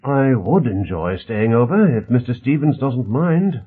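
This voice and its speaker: A man voicing a polite, inquisitive titled gentleman in an audiobook, courteous, formal and tentative. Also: mid-range voice, animated, unhurried, husky, pitched above the performer's own voice. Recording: narrow phone-like band.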